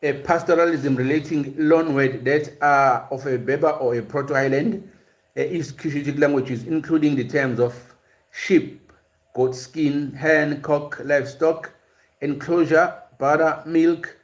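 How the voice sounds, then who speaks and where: A man's voice: on the high side, fairly even intonation, a little slow. A man hosting a live-streamed language and culture lesson, explaining in a hesitant way with frequent fillers and repeated words, and answering viewers' comments. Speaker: host of a language and culture series.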